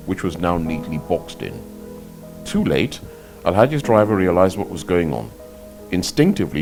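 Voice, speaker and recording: Deep-voiced man reading fiction aloud. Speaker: novelist reading from own novel.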